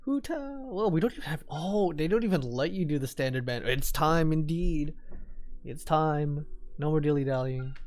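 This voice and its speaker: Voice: mid-range voice, animated, moderate pace, a little mellow. A game streamer giving casual live commentary, rambling and repeating phrases while thinking aloud.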